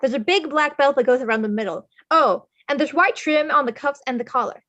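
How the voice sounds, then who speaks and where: A woman acts out an enthusiastic skeleton leader in a table read. The voice is high and bright, forceful in its encouragement. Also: brisk, lo-fi sound. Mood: excited. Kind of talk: live amateur table read of a film script.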